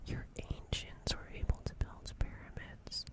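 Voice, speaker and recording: A performer voicing a gentle, friendly alien in a breathy whispered ASMR roleplay, soothing and rambling, repeating words. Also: measured pace, lightly acted. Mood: reassuring, admiring. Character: gentle friendly alien comforting a crashed human.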